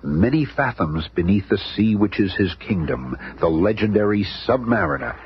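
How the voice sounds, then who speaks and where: A man's voice: on the low side, very animated, normal speed, creaky. A man narrating a superhero radio serial in a grandiose, theatrical storytelling style. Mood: dramatic. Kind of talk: dramatic scripted radio narration. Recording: noisy, muffled old radio recording.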